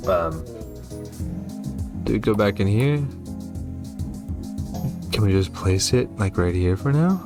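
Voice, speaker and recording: A man's voice: fairly low pitch, expressive, slow pace, pauses often. A man giving commentary on a horror game, reacting and reading on-screen text aloud. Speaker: gaming video host. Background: game music.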